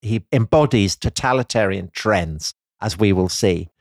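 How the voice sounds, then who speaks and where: A man's voice: on the low side, unhurried, dry recording, studio quality. A man co-hosting a history podcast, explaining at length in a thoughtful way and often hedging with "I think.". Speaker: podcast co-host.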